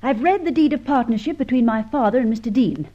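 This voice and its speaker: A woman's voice: a little high, lively intonation, quick pace, warm tone. An actress playing an earnest, proper young lady, the adventurer's questioning ally, in an old radio serial. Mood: composed. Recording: dull old radio recording.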